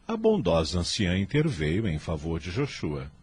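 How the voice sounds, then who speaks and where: A male narrator tells a radio drama story. His voice sits low and moves with plenty of expression, in formal, literary phrasing. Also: wildly swooping pitch, normal speed, darkish tone, slight background noise.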